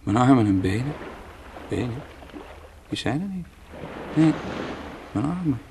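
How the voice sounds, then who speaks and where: A man's voice: mid-range voice, sing-song, a little slow, a little mellow, a little hiss or hum. An actor playing a drowning man in a radio play, wry and musing as he rambles about death. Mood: dazed, bitter. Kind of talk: Dutch radio play acting.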